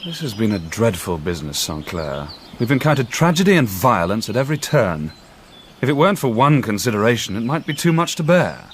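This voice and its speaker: An actor playing a brilliant, self-assured young detective reporter in a period radio drama, explaining things deliberately with dry wit. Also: male voice, medium pitch, very animated, slight background noise, close to an everyday voice. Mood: sombre.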